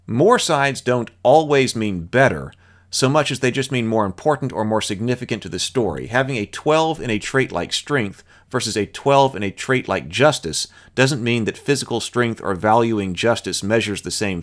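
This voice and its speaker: A man hosting his own channel, casually walking through a game's rules on screen and reading passages aloud, thinking out loud with small restarts, at a desk mic in a home room. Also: fairly low pitch. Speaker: channel host reviewing a game.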